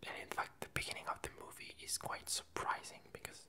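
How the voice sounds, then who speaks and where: A woman whispering a casual ASMR chat in a breathy, halting way with long pauses. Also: bright, forceful tone. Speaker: ASMR video creator.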